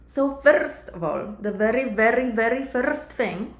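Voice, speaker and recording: A woman's voice: on the high side, expressive, unhurried, echoey room. A middle-aged woman from Poland with a Polish accent, a life coach talking directly to her viewers and calling them sister and my love. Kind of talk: coaching monologue to camera.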